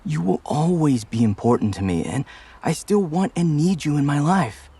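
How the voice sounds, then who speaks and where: A man's voice: on the high side, expressive, normal speed, vocal fry, warm tone, breathy edge, full character voice. A man voicing a devoted, affectionate grown son in a motion comic, talking in a casual, earnest way. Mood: tender.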